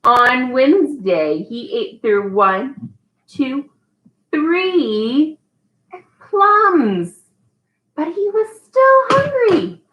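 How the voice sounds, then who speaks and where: A woman teacher leading a storytime for young children in an animated, emphatic manner, reading aloud to them. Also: high-pitched, extremely slow, long pauses, a little hiss or hum. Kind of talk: children's read-aloud and singalong. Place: indoors at home. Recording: echoey room.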